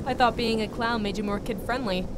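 A woman voicing a calm female squad commander who gives clipped, steady orders. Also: on the high side, fairly quick, close to an everyday voice. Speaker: squad leader. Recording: noisy background.

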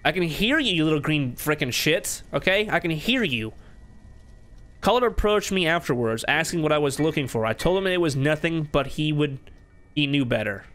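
A man's voice: fairly high pitch, lively intonation, moderate pace, a bit bright, a little hiss or hum. A man in his twenties giving casual, slangy gameplay commentary and reading aloud, bantering as he plays. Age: 20s. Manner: informal, slangy, bantering with the game. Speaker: gamer, let's player.

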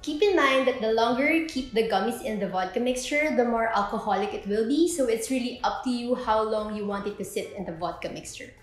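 A woman's voice: a little high, a little fast, few pauses. A woman, presenting a step-by-step drink tutorial as host in an indoor kitchen. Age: 20s. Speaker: host of a drink recipe video. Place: indoor kitchen with bare walls. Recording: echoey room with background music. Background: music.

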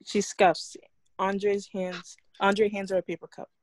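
A woman's voice: mid-range voice, level tone, measured pace, no echo. A woman reading scripted stage directions for a film table read over a video call.

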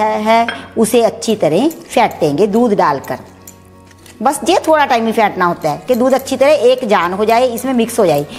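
A middle-aged woman in her home kitchen, chattily explaining each step of a recipe as she cooks. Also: a little high. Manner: chatty, explanatory, mixes English words. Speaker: cooking channel host. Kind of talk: home cooking demonstration.

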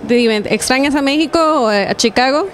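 A woman's voice: sits high, moderate pace. A woman reporter asking interview questions off camera at a racetrack, in a plain, friendly way with a few fillers. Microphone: handheld mic held to guests, off camera.

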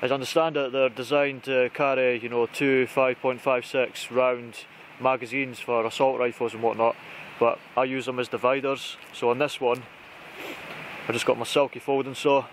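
A man's voice: mid-range voice, flat delivery, normal speed. A man in his thirties with a British accent, giving an informal, chatty gear walkthrough to camera as an outdoor vlogger. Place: outdoors in conifer woodland. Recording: outdoor recording with clear background noise.

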